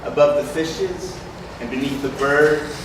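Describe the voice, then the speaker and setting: A man plays a reverent stage poet reciting lyrical wedding verse. He is unhurried and fairly high in pitch. The recording is distant, with heavy hall echo. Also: natural voice. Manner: lyrical, measured, declamatory. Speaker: actor in a staged reading. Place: large community hall. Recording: echoey hall, distant mic, noisy.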